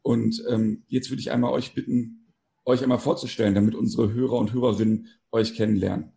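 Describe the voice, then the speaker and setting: A man's voice: medium pitch, flat delivery, brisk, vocal fry, dark tone. A man hosting a casual podcast interview, informal and searching for his words as he goes. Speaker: podcast host.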